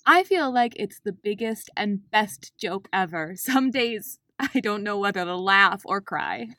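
A woman's voice: medium pitch, expressive, unhurried, sharp tone, no room sound, clean studio sound. A woman playing a cheerful, wry, pregnant young small-town woman in a radio play. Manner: wry, friendly.

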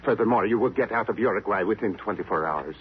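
An actor playing a courteous but threatening henchman with a measured manner in a 1950s radio drama. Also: lowish voice, a little hiss or hum, natural voice. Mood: menacing. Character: smooth, menacing henchman posing as courteous messenger. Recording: muffled old radio, loud music.

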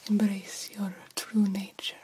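A woman playing a vampire doctor in an ASMR roleplay, guiding a young fledgling with formal, deliberate, hypnotic instructions. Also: mid-range voice, flat delivery, warm tone, husky. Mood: intent, encouraging. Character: stern yet encouraging female vampire doctor mentoring a fledgling.

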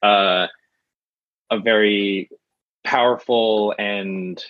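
Man, 20s, lowish voice, hesitant guest, dull dry recording. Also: steady tone, very slow, dry recording. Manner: hesitant, many uh fillers. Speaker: podcast guest. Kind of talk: casual chat.

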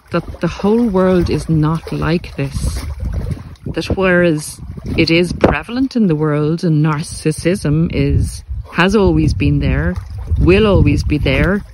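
A man giving a motivational spiritual talk as a podcast host, speaking earnestly and deliberately, with repeated phrases for emphasis. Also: fairly high pitch, noisy background. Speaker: podcast host, narcissistic abuse recovery coach.

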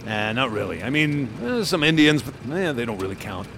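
A wisecracking old-time trooper detective who laughs through his own tall tales, his voice lively and springing up and down. A car engine rumbles steadily behind him in this radio play. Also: fairly quick, slight background noise. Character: boastful wisecracking male state trooper detective. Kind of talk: radio drama acting.

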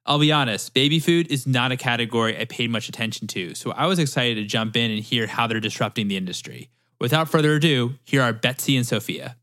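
A man's voice: mid-range voice, fast, few pauses. Man hosting a business podcast. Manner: even, reading-style delivery. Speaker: podcast host. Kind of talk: scripted intro, then interview questions.

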